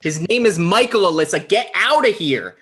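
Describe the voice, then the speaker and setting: A man's voice: sits high, animated, normal speed, fairly bright tone, slightly rough, heavily acted. A man playing an awkward, self-important online show host in a comedy table read, stammering a little. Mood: exasperated.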